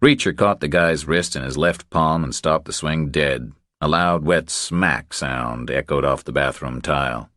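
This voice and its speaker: Very deep-voiced male thriller narrator. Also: unhurried, few pauses, fairly bright tone, no echo, clean studio sound. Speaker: audiobook narrator.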